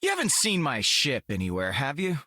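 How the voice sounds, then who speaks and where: Smart-aleck space outlaw for a game, super animated, sharp bright voice, flippant slang. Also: male voice, mid-range voice, fairly quick, no echo, studio quality, exaggerated voice. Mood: exasperated. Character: cocky, wisecracking space outlaw, young man. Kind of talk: video game character lines.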